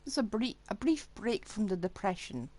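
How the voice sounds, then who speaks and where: A woman's voice: medium pitch, lively intonation, moderate pace, a little mellow. A woman giving casual, blunt and flippant live reactions while streaming a video game.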